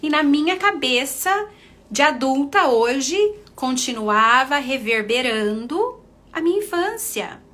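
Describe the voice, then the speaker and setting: Bright-voiced Brazilian woman coaching viewers. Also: high-pitched, expressive, slow pace. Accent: Brazilian Portuguese. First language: Portuguese. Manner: warm, chatty, addresses viewers as friends. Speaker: confidence coach. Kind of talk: casual livestream talk to followers.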